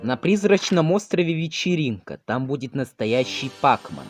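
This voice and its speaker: A man voicing a hapless, excitable cartoon ghost henchman with theatrical delivery, in an amateur video game dub. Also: medium pitch, normal speed, natural voice. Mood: eager, conspiring. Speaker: fan dub voice actor. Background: game music.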